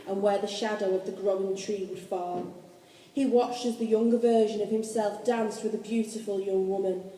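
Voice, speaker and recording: Very mellow woman narrating a story. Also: mid-range voice. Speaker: narrator of a radio play. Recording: echoey hall with faint noise.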